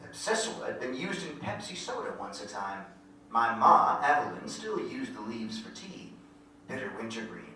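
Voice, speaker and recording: A man's voice: mid-range voice, pushed and bright. A man playing a wistful country narrator looking back on boyhood, telling it lyrically with folksy rural grammar. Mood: reflective. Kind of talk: poetic first-person monologue in staged reading. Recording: very echoey hall, distant mic, faint noise.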